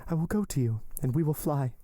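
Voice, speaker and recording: A tearful young woman who pleads and implores, her voice lifted high with a soft, dark mellowness underneath, as a male audiobook reader puts her on. It is close and dry, with no room around it. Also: lively intonation, fairly quick, no echo. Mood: imploring. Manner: trembling, imploring delivery. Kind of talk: audiobook character voice.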